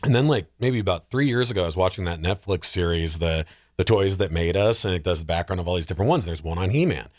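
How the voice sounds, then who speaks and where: Animated deep-voiced man chatting. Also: fast. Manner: animated, jokey. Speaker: podcast panelist. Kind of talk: casual chat about movies.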